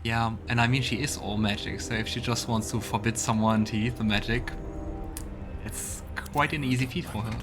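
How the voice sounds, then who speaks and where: A man's voice: mid-range voice, steady tone, moderate pace. A man hosting a let's play with casual gameplay commentary, musing and thinking aloud. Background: game music.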